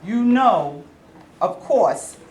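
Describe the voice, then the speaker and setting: A woman's voice: mid-range voice, lively intonation, drawn-out words, darkish tone. A woman playing a worried, folksy male steel boss in a staged play reading. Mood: doubtful. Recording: echoey room, faint noise.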